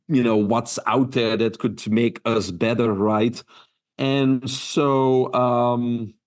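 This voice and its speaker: A man in his fifties from Belgium with a Belgian accent, giving earnest interview answers full of fillers and restarts. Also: mid-range voice. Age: 50s. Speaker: guest.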